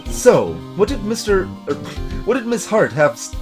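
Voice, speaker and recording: A man voicing a blunt, excitable, folksy middle-aged police detective in a video game. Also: sits high, normal speed, music in the background. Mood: glum. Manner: folksy, blunt, keeps saying pal. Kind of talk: voiced video game dialogue.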